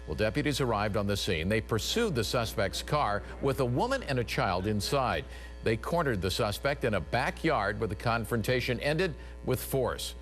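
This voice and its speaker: A man's voice: medium pitch, fairly bright tone. A man reading scripted television news as an anchor.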